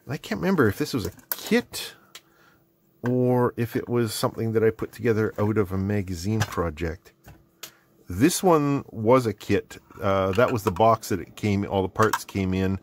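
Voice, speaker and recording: A man's voice: lowish voice, expressive, normal speed. A middle-aged man with a Canadian accent, narrating casually and unscripted at his workbench in a rambling, self-deprecating way. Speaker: host of an electronics hobby video series. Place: indoor hobby electronics workbench. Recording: clearly noisy background with clicks.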